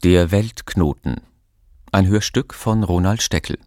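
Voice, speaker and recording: An actor as a sober, pensive narrator, delivering the scripted introduction to a radio play. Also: male voice, low-pitched, measured pace, a little mellow, no room sound, studio quality. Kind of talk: scripted audio drama introduction.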